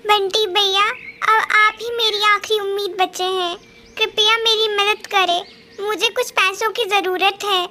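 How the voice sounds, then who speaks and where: A woman's voice: very high voice, level tone, measured pace, noisy background. A woman voicing a hardworking, anxious mother bird in a cartoon story, speaking in an earnest, pleading manner. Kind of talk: Hindi cartoon story dialogue.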